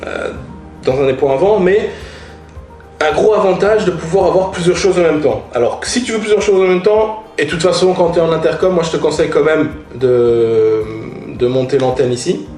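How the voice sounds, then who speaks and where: A man's voice: on the high side, a little mellow, roomy sound. A man chatting informally to viewers as he reviews gear, slangy and emphatic, repeating points for effect. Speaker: motorcycle vlogger reviewing gear. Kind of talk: casual product review talk to viewers.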